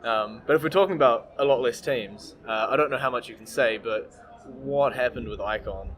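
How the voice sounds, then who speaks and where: A man from New Zealand with a New Zealand accent, interviewing a player in a chatty way, often pausing on fillers. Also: mid-range voice, a bit bright. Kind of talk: casual interview.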